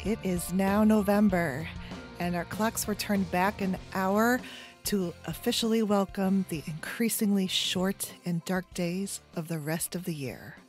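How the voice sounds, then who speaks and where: A woman in her forties hosting a solo podcast chat at home, her voice scratchy from a sinus infection, with lots of ums and trailing pauses. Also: medium pitch, soft background music. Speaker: podcast host. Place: home room with bookshelves. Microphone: headset.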